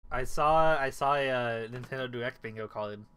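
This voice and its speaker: A man's voice: medium pitch, normal speed, a bit bright, smooth. A young man hosting a live stream, chatting casually with friends in a dry, joking way. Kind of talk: casual chat while setting up a stream.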